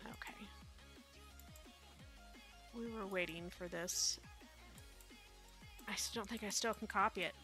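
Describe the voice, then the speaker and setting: A woman's voice: mid-range voice, slow pace, long pauses, bright, forceful tone, soft background music. A woman with a Midwestern accent, chatting casually and rambling with many fillers while streaming a game. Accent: Midwestern American. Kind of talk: casual live-stream commentary.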